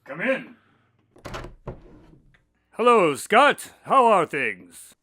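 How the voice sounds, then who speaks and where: An actor playing an earnest doctor and loyal detective's sidekick in an audio drama, speaking in a measured, articulate, conversational way. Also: male voice, high-pitched, sing-song, pushed and bright, heavily acted. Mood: friendly. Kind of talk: audio drama dialogue and storytelling.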